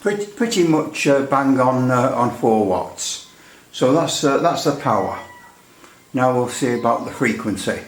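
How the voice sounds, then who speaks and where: An echoey indoor recording of a man with a mid-pitched voice. He talks through a technical demonstration casually, rambling and hesitating as he goes, with a dry sense of humour. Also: expressive, unhurried, slight background noise. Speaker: radio hobbyist presenting a repair demonstration. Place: indoors at a workbench. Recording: echoey room.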